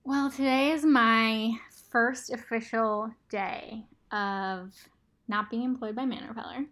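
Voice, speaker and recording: Slightly raspy woman, relaxed guest. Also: fairly high pitch, slow. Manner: relaxed, reflective, with many fillers. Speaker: podcast guest. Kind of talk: casual chat.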